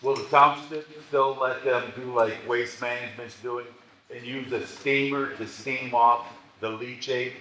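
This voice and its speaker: A man's voice: medium pitch, steady tone, a little slow, bright, forceful tone. A man at a public meeting asking insistent, colloquial questions about zoning. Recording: echoey hall, faint noise.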